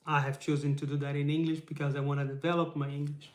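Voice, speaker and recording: A man's voice: a little high, level tone, fast, echoey room. A man whose first language is Portuguese, speaking in English to camera on his channel, earnest and encouraging, asking rhetorical questions and often adding "right?". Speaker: YouTube channel host. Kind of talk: casual live motivational talk to camera. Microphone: camera mic.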